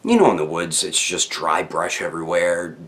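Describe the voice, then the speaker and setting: A man with a low voice hosts a casual storytime for his online viewers. He talks in loose, slangy sentences without a script, rambling and doubling back on words as he goes. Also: moderate pace, a bit bright. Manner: rambling, slangy, with stammered repeats. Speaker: YouTube storyteller, channel host.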